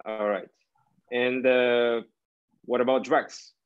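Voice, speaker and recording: A young man from Kazakhstan hosts a casual cooking and dance chat from his home kitchen on a video call. He talks haltingly, with many fillers and restarts. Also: medium pitch, level tone, clean tone. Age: young adult. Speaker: host. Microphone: laptop webcam on a video call. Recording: muffled video call audio.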